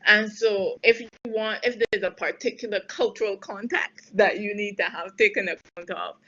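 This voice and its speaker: A woman from Barbados speaks as a policy expert on a video call, her voice at a middle pitch and a bit muffled. She reasons out loud, restarting phrases and then landing points with conviction. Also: moderate pace. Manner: thoughtful, many fillers and restarts, emphatic in places. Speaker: trade policy expert answering webinar questions. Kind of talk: spontaneous expert Q&A on a webinar.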